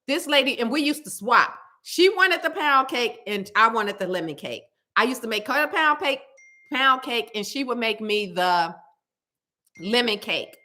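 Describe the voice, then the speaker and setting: A woman with a loud, carrying voice, chatting casually to her viewers and telling stories with lots of emphatic repetition. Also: on the high side. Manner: animated storytelling, repeats words for emphasis. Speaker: cooking vlogger.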